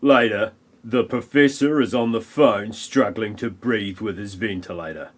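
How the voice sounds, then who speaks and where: Slow-paced man narrating with mockery. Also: on the low side. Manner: mocking, wry. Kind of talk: comic parody audio play narration.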